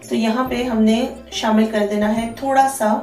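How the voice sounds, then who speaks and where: A woman's voice: a little high, steady tone, moderate pace, darkish tone. A woman hosting a cooking channel, giving friendly step-by-step instructions in a chatty way. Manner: friendly, chatty instructions with frequent "theek hai ji". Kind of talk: cooking tutorial voice-over. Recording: echoey, distant voice-over with faint music.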